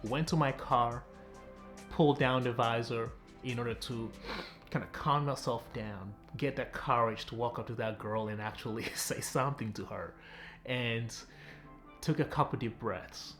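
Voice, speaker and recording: A man's voice: mid-range voice, unhurried, fairly bright tone, soft background music. A young man from Tanzania, a relationship coach, telling viewers a personal story in a direct, chatty vlog style.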